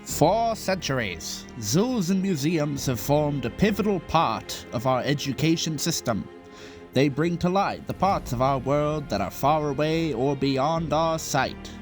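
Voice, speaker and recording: A man's voice: on the high side, lively intonation, measured pace, faint music behind. An actor playing a grandiose, cheerful theme park showman, narrating a scripted promotional video. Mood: grand, inviting.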